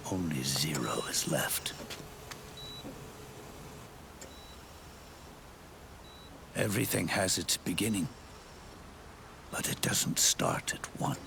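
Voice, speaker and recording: An actor playing an old, world-weary soldier in a video game, solemn and regretful, with a breathy rasp and grave, weighty phrasing. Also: male voice, low voice, noisy background, heavily acted. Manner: grave, deliberate, with weighty pauses. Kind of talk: dramatic video game monologue. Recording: music under the voice. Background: cinematic music.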